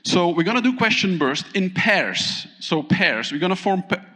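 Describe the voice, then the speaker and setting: A man with a fairly high voice is giving a conference keynote on stage, talking with lively energy and often pausing to put questions to the audience. His voice rings out through a large hall with a long, lingering reverb. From Thailand. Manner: animated, asks the audience questions, pauses often. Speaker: keynote speaker.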